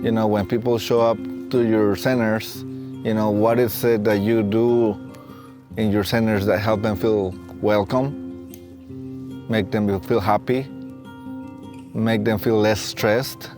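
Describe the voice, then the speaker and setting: A man in his late thirties with a Mexican accent, telling his own story in a halting, reflective way. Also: on the low side, flat delivery. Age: late 30s. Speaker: facilities and safety technician and staff trainer. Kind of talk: reflective personal storytelling for a training film. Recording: soft plucked guitar music behind. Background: guitar music.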